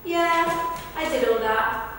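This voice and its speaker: A woman's voice: high-pitched, slow, fairly bright tone. A woman performing a conversational verbatim theatre monologue, recorded from a distance in an echoey rehearsal room. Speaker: stage performer. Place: large reverberant indoor rehearsal room. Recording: distant, echoey hall with background noise.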